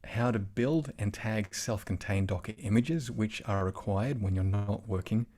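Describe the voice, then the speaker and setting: A man's voice: on the low side, a little slow, mellow. An Australian man giving an online tech talk over a video call, chatty, with self-deprecating asides. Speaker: conference speaker.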